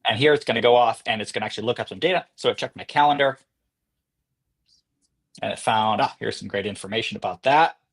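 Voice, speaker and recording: A man speaking as an interview guest, explaining and demonstrating software in a casual, conversational style. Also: mid-range voice, fast talker, gravelly, long pauses, slightly rough. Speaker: podcast guest, company co-founder and CEO.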